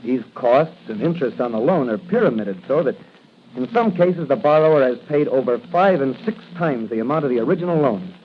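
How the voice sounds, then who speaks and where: A man's voice: mid-range voice, expressive, fairly quick, lightly acted. An actor playing a confident young policeman hero in 1940s radio style, on a muffled, hissy old recording. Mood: confident, determined. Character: confident crime-fighting young policeman hero with secret masked identity.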